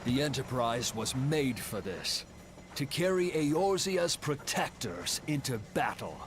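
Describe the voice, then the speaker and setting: An actor playing a proud, reflective exiled engineer and airship captain in a video game, speaking in an earnest, measured, solemn way. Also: male voice, mid-range voice, sing-song, a little hiss or hum. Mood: wistful, proud. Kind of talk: dramatic video game voice acting.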